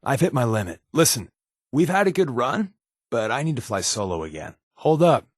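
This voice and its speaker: A man's voice: mid-range voice, very animated, moderate pace, no room sound, clean studio sound. A man playing a wisecracking, secretive undercover agent in a video game, with a wry, glib, conversational delivery. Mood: casual, frank. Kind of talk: video game companion dialogue.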